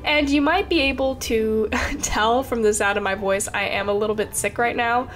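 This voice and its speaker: A woman's voice: fairly high pitch, fairly even intonation, normal speed, a bit bright. A young woman with a stuffy, congested voice from a cold, chatting casually and rambling as she narrates her game build, with lots of fillers and little laughs. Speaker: Sims speed-build creator.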